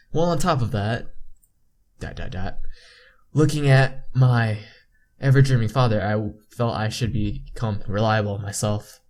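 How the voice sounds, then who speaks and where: A man doing a game playthrough, reading visual novel text aloud haltingly and adding his own asides. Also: lowish voice, darkish tone. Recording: game music behind the voice. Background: game music.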